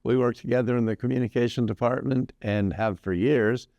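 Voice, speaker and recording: A man in his sixties hosting a casual morning radio interview, easygoing and quick to laugh. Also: mid-range voice, fairly even intonation, warm tone, no echo, dull audio. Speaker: radio host.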